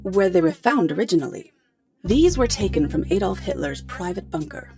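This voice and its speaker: An actress playing a poised, articulate professor in a radio drama, explaining things in a measured, polite way. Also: female voice, on the low side, very animated, darkish tone, faint music behind. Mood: explanatory. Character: poised, articulate female professor hiring a detective.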